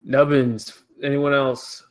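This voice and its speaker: Slow-talking man running a tabletop game. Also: mid-range voice. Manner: relaxed, hesitant with many fillers. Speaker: game master. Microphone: headset or desk mic on a voice call.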